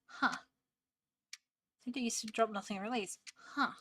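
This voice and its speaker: A woman's voice: on the high side, unhurried, pushed and bright. Young aussie girl streamer rambling while studying, lots of "like", chill casual chat. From Australia. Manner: rambling, chatty, many "like" fillers.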